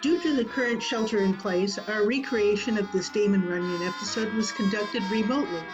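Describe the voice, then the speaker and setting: A female announcer delivers scripted radio-theater credits over clear background music. Her voice is medium in pitch, and the recording is somewhat dull. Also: fairly quick. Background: prominent music.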